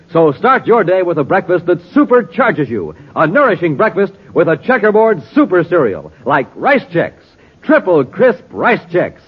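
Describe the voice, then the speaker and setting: A man's voice: on the high side, breathy edge. An American man announcing and narrating a 1950s radio show with a dramatic, emphatic showman delivery. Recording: muffled, noisy 1950s radio recording.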